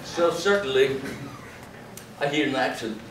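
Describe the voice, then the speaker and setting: Man, foreign accent, chatty concert host, informal interviewing, far from the microphone, clearly noisy room. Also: mid-range voice, lively intonation, unhurried. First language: not English. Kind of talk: informal onstage interview before an audience. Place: concert hall stage. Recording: roomy, noisy hall recording from far off.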